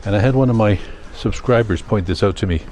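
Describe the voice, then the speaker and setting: An older man with a Canadian accent, chatting casually in a rambling vlog, thinking aloud in a halting, self-correcting way. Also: fairly low pitch, darkish tone, faint music behind. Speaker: vlogger.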